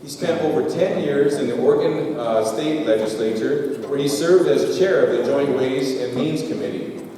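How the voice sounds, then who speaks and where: A man reads out a speaker's biography at an event, adding a wry aside now and then. His relaxed voice sounds distant in a roomy auditorium with a long echo and faint room ambience. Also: medium pitch, normal speed, background noise. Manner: reads a bio with light asides. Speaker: event emcee introducing the guest. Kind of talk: reading a formal introduction. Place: large auditorium stage. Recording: echoey hall from far off.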